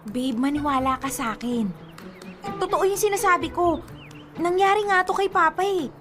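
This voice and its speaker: A woman's voice: very high-pitched, moderate pace. A woman playing a stubborn, superstitious young Filipino girlfriend in a radio drama, girlish and pleading. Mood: anxious insistence. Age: young adult. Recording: music bed under the voice.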